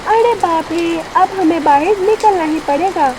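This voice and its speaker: Woman doing worried mama bird for kids cartoon, high voice, bit of rain noise behind. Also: measured pace, a little mellow, rough recording. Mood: alarmed. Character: anxious mother bird in a flooded forest. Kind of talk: Hindi children's cartoon story acting.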